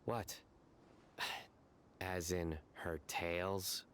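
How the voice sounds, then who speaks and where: Calm, lowish game companion. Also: male voice, slight background noise, heavily acted. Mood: puzzled. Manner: measured, thoughtful. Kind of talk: video game character acting.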